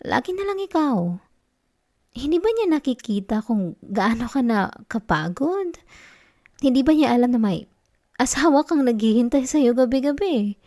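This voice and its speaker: A woman playing a needy, affectionate wife in an intimate ASMR roleplay, with a Filipino accent and a soft, coaxing, pouty delivery. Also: a little high, big pitch swings, normal speed, long pauses. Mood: tender, mildly annoyed. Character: needy, affectionate wife, sulky yet tender and teasing.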